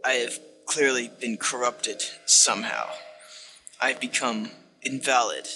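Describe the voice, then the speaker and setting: A man voicing a hateful, condescending machine-like game narrator, delivered as a dramatic reading with deliberate disdain. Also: medium pitch, bright, forceful tone, rough recording. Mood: faltering, defeated. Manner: dramatic, disdainful, deliberate second-person address. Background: music.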